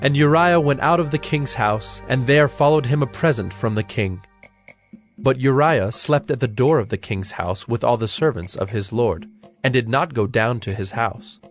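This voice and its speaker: Man narrating bible story, medium pitch, formal and measured, music playing under him. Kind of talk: dramatized scripture narration.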